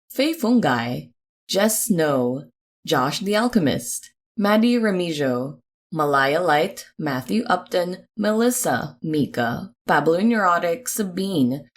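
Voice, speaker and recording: A woman reading podcast credits in a chatty, informal, upbeat announcing style, with expressive delivery. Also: on the low side.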